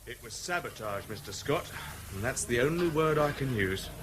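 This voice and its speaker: An actor playing a chatty, matter-of-fact garage mechanic in a radio drama, with a British accent and an unhurried manner. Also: male voice, mid-range voice, animated, fairly bright tone, lightly acted, poor audio. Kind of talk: naturalistic radio drama acting.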